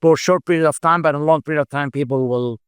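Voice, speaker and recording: A middle-aged man, a company founder, speaking English as a second language in a halting interview answer style, full of fillers and repeated words. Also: fairly high pitch, clear, resonant tone, dry recording, clean studio sound. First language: not English. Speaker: founder and CEO, podcast guest.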